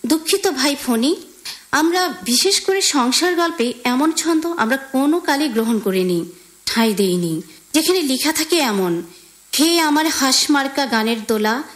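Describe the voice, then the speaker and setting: A woman's voice: sits high, animated, unhurried. A woman with a Bangladeshi accent co-hosting a radio show and presenting listeners' letters.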